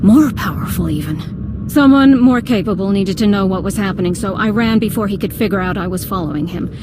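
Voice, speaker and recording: A woman's voice: mid-range voice, fairly quick, background noise, natural voice. An actress playing a guarded, blunt former Jedi woman protective of her family in a video game. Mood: grave, haunted. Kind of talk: scripted video game voice acting. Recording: loud music under the voice.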